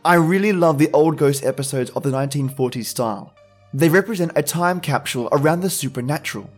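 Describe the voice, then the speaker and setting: A man's voice: on the high side, fast talker, faint music behind. A man hosting a podcast, giving a scripted introduction to an episode. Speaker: podcast host.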